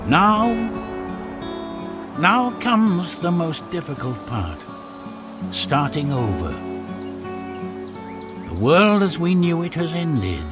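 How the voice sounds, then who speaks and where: A man voicing a wise, solemn shaman master in a video game, speaking gravely and deliberately to his pupil, with music behind. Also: a little high, big pitch swings, voice pushed up. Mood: solemn, hopeful. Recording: loud music under the voice.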